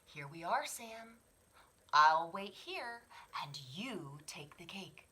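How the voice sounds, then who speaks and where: A woman voicing a gentle, encouraging mother bear in a picture-book story. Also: lowish voice, sing-song, slow, full character voice. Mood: calm, reassuring.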